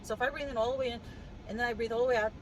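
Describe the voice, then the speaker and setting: Vlogger, woman, higher voice, congested cough, wry and animated storytelling, recorded in a car. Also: rapid-fire, very noisy. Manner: animated, wry, laughs while telling the story. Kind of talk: casual storytelling vlog. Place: in a car with the air conditioning on.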